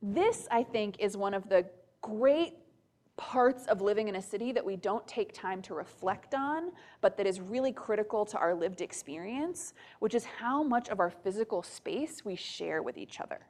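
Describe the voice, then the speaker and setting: A woman giving a conversational sermon to her church, talking in a chatty way with frequent "um"s, "right?" tags and soft laughs. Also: medium pitch, animated, moderate pace. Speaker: preacher.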